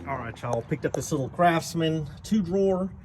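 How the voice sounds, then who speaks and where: A man's voice: on the high side, animated, moderate pace. A man vlogging casually off the cuff in a folksy, rambling, slangy way. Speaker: host of a garage and farm vlog. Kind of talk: casual vlog chat, off the cuff. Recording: clearly noisy ambient background.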